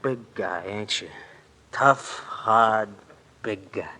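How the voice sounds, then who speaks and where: Hard, low-voiced sadistic radio thug. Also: male voice, extremely slow, bright, forceful tone, a little hiss or hum, full character voice. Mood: taunting threat. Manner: taunting, threatening, slangy, repeats his threats. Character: sadistic, menacing underworld thug who hates cops. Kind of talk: 1950s radio drama acting. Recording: old AM radio drama recording.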